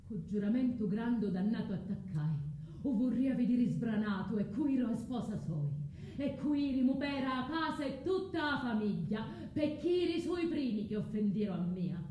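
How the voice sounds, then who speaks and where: Actress doing bitter scorned calabrian woman in a radio play, southern italian accent, big pitch swings, roomy echo. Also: lively intonation, dark tone, natural voice. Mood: vengeful praying. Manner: earthy, halting, dramatic storytelling. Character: bitter, vengeful Calabrian migrant woman, scorned lover, earthy. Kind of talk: theatrical radio monologue with dialect. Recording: roomy echo, faint background noise.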